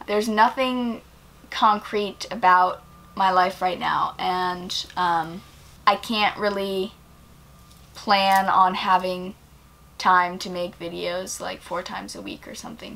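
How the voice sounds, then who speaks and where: A woman of twenty answering viewer questions in a casual vlog, relaxed and rambling with many ums and likes, filmed in a small indoor room. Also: mid-range voice, vocal fry. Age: 20s. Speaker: vlogger.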